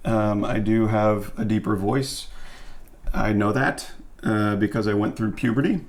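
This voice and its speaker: A man with a deep, raspy voice co-hosting a casual chat, making wry, joking remarks. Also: fairly even intonation, unhurried. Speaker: co-host.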